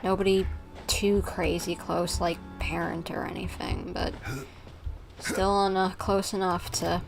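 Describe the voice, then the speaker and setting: A woman giving casual livestream commentary on a game, musing and thinking out loud. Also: fairly low pitch, little pitch movement, a little slow, vocal fry, over music.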